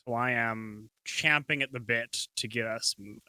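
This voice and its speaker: A man from the East Coast co-hosting a casual podcast, wry and quick with jokes. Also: medium pitch, bright, forceful tone, clear, resonant tone, dry recording, rough recording. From East Coast of North America. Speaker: podcast co-host.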